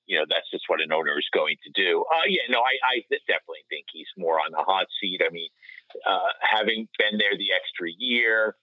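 A man co-hosting a sports podcast, discussing things casually in a measured, conversational manner. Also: low voice, expressive, no echo. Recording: slightly dull lo-fi audio.

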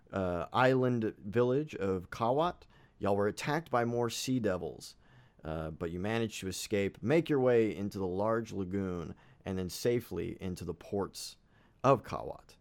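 A man hosting and narrating a tabletop game as dungeon master, slightly raspy from fighting a cold, casual with many fillers. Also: lowish voice. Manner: casual, with frequent fillers and restarts.

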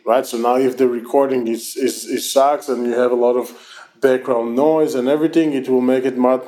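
A man with an Israeli accent, a company founder answering interview questions over an online call in a quick, loose way with frequent restarts. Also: mid-range voice, dark tone. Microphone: remote online call.

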